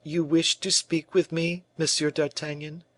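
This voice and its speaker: A man voicing a wounded young noblewoman who speaks in a broken, strained way, raising his voice higher. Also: level tone, measured pace, dry recording. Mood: hurt.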